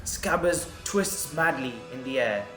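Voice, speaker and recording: A man's voice: mid-range voice, lively intonation, unhurried, pushed and bright. A man narrating a scripted audio drama in a storytelling style. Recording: roomy echo, background music.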